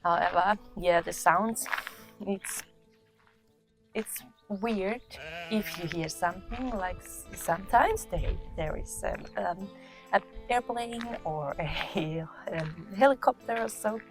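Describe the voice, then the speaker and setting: Woman, relaxed countryside vlogger. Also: mid-range voice, soft background music. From Finland. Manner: relaxed, thoughtful, pauses to search for words. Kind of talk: casual walk-and-talk vlog. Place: outdoors in the countryside.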